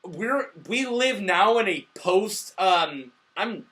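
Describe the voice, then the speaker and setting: A man hosting a casual review chat while clearly drunk. His voice is high and bright, and he talks in an excitable, rambling, emphatic way with plenty of swearing. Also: slow pace. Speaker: movie review host. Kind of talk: casual profane movie chat.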